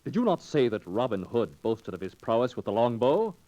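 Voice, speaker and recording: An actor playing a haughty, scheming knight in a 1940s radio drama, with stern archaic speech. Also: male voice, medium pitch, big pitch swings, brisk, dark tone, highs cut off, natural voice.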